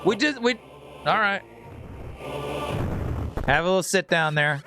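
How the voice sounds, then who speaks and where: A man's voice: high voice, animated, measured pace, background noise. A man streaming a video game, giving casual, slangy, rambling and joking commentary to his chat. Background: video game music.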